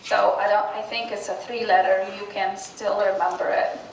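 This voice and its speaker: A woman's voice: mid-range voice, level tone, normal speed. A woman from Tunisia, speaking with many fillers and restarts as a guest speaker at an informal class presentation. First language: Arabic and French. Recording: echoey room from a distance, faint hiss.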